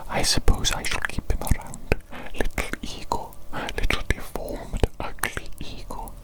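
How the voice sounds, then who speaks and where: A woman voicing a pompous, fussy evil doctor in a whispered ASMR roleplay, breathy and given to repeating phrases emphatically. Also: a little slow, close to an everyday voice. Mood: smug, grumbling. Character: pompous, exasperated evil doctor, self-proclaimed mad scientist genius.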